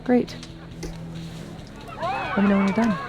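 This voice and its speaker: A woman's voice: medium pitch, steady tone, takes it slowly, a little mellow, soft background music, close to an everyday voice. A woman playing a bold, sardonic, vengeful young woman in a video game, speaking with emphasis. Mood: scheming. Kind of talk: naturalistic video game acting.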